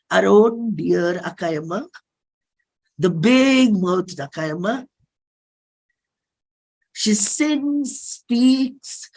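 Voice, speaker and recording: A performer with an Indian accent giving a dramatic reading of a personal play script, deliberate, with pauses and repeated phrases. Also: mid-range voice, poor audio. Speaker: performer and singer.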